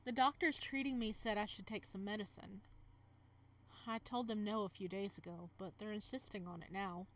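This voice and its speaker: A woman's voice: medium pitch, fairly even intonation, normal speed, natural voice. A woman voicing an anxious, remorseful young man in a visual novel, speaking with hesitant, pleading phrasing. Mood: remorseful, unsure.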